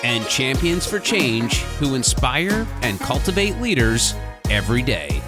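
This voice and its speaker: Announcer, scripted show intro, animated pitch, unhurried, loud music bed.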